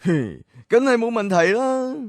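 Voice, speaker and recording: A woman's voice: on the high side, sing-song, measured pace, no echo. Proud young kung fu boy, close and dry. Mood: proud, welcoming. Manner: cocky, resolute, boyish. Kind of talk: children's radio drama acting.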